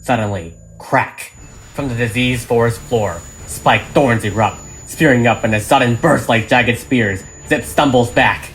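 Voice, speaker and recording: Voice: mid-range voice, unhurried, a bit bright, slight background noise, rough recording. A narrator reading an audio drama script aloud, stage directions included, in a dramatic way. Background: music.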